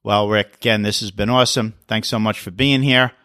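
A man's voice: lowish voice, steady tone, brisk, pushed and bright, no echo, clean studio sound. A man hosting a real estate podcast interview, talking in an upbeat, enthusiastic way. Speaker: podcast host.

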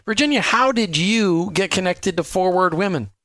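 A man hosting a faith-based radio talk show, upbeat and promotional, spelling out details slowly. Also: sits high, animated, fairly bright tone, no echo, clean studio sound. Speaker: radio host.

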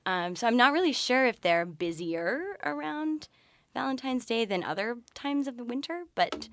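A woman's voice: a little high, sing-song, normal speed, fairly bright tone. A woman answering interview questions as a podcast guest, explaining things thoughtfully in a conversational way. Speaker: podcast guest, researcher and party presenter.

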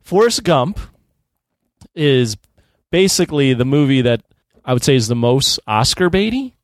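A man's voice: mid-range voice, very animated, a little slow, no echo, studio quality. A man in his thirties co-hosting a podcast, talking casually about films. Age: 30s. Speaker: podcast co-host. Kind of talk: casual film discussion.

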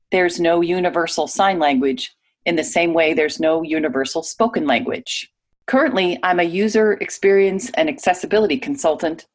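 Low-voiced woman reading interview answers. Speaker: voice actor reading a guest's typed answers. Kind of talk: read-aloud interview answers.

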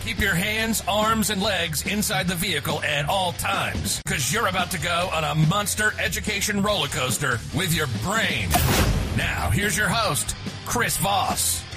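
A man announcing a podcast intro in a booming, theatrical hype delivery. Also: expressive, a little slow. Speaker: show announcer. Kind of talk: hyped show intro. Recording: voice over loud music.

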